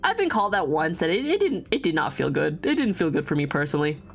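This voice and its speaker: A woman's voice: sits low, sing-song, fast, slightly rough, faint music behind. A young woman streaming while she draws, chatting casually with viewers in a giggly, rambling way. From Vietnamese family, born outside Vietnam. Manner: chatty and giggly, lots of restarts and fillers.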